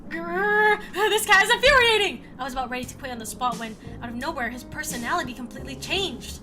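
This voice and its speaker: Woman as story's exasperated aide, earnest and pleading, extremely high voice, music behind. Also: very fast, close to an everyday voice. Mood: fed up. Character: exasperated campaign aide narrating and advising a cat boss. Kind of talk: scripted story reading.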